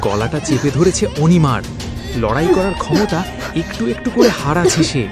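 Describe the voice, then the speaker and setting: A man's voice: fairly high pitch, big pitch swings, normal speed, loud music behind the voice. A man narrating a scripted horror story with dramatic, suspenseful delivery.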